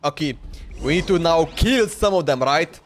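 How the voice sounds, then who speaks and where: High-voiced man doing casual gameplay commentary. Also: expressive, normal speed, faint music behind. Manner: rambling, thinking aloud. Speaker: game streamer.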